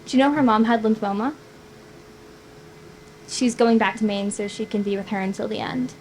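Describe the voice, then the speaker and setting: A woman's voice: fairly high pitch, fairly even intonation, moderate pace, a little mellow, close to an everyday voice, rough recording. A woman reading the part of a wistful, teasing young woman at a screenplay table read. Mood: melancholy, anxious. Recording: noticeable background noise.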